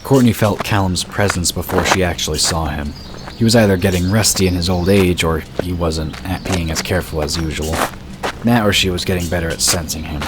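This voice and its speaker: A man narrating a fantasy story in a steady, measured storytelling voice. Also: sits low, heavy background noise. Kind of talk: scripted audiobook narration.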